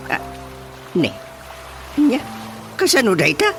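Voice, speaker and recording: A man's voice: very high voice, wildly swooping pitch, slow pace, pushed and bright, faint music behind, heavily acted. An actor with an Ilocano Filipino accent playing an exasperated, exclaiming village chairman in a radio comedy drama. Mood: surprised. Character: excitable village chairman, exasperated comic official.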